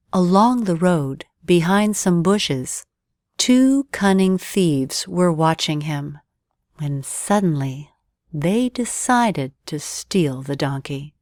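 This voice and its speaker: A woman's voice: fairly low pitch, darkish tone, no room sound, studio quality. A woman reading a scripted bedtime story as narrator.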